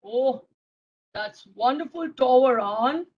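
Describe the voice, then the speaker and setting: A patient, firm storybook grandfather, acted by a woman in a high voice. He gives unhurried instructions to a child. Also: lively intonation, slightly rough, dull audio, full character voice. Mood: coaxing, firm.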